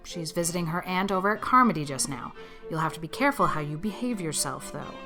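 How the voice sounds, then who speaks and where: A woman playing a strict, brisk female guardian in an audiobook, with a curt, reproving manner. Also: lowish voice, animated, over music, lightly acted. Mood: matter-of-fact. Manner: curt, reproving, matter-of-fact. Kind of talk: audiobook character acting.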